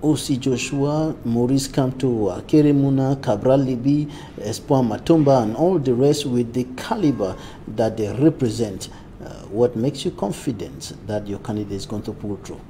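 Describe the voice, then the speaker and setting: A man's voice: medium pitch, moderate pace, mellow, slight background noise. A male news anchor interviewing a guest, asking long, carefully framed questions.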